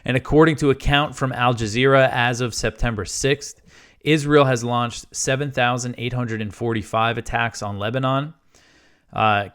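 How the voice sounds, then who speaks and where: A man's voice: mid-range voice, fairly bright tone, clear, resonant tone. A man hosting a news show, reading stories and quotes in a matter-of-fact, informal way with casual asides.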